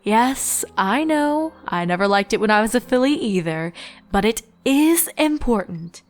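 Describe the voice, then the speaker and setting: A woman voicing a kindly, regal mare schoolteacher in a storybook reading, patient and composed, with a gentle, instructive manner. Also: a little high, animated, a little slow. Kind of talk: storybook character voice.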